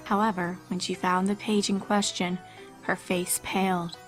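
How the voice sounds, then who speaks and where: A woman's voice: on the low side, level tone, moderate pace, rough recording. A woman reading scripted fan fiction as a storybook narrator. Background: background music.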